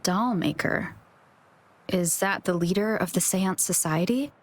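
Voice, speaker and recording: A woman's voice: on the low side, measured pace. A woman playing an earnest, level-headed young adventurer in a video game, thoughtful and observant. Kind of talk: video game cutscene acting.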